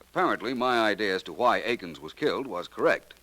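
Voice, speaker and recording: An actor in a 1940s radio drama playing a composed gentleman detective who lays out how a murder was done. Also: fairly low pitch, quick pace, no echo, rough recording. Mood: explaining. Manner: calm, explanatory. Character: suave, cool-headed gentleman detective.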